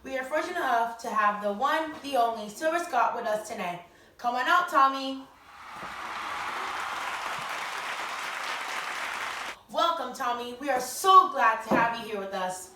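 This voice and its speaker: A woman's voice: a little high, animated, fairly quick, natural voice. A young woman acting as a chirpy, theatrical 1920s radio show host who uses old-time slang. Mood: upbeat, presenting. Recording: roomy, distant mic, faint noise.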